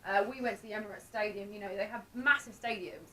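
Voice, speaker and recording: Bright, chatty young woman interviewer. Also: mid-range voice, quick pace. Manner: chatty, upbeat and playful. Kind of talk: casual interview. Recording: distant mic with faint ambient noise at a mall event.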